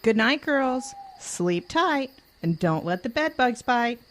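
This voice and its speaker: A woman acting as a calm camp leader in an amateur audio drama, speaking in a measured storytelling way. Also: fairly high pitch, a little hiss or hum. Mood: soothing, motherly. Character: calm woman camp leader, secretly spooking the campers at night.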